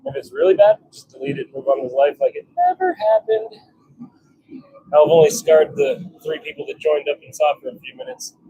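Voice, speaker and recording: A man's voice: on the high side, huge pitch swings, fairly quick, gravelly, dark tone, breathy edge, highs cut off. A man chatting offhand on a livestream as he works in a workshop, grumbling and self-deprecating. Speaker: livestream host and hobby blacksmith. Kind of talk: casual live chat while working. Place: home forge workshop.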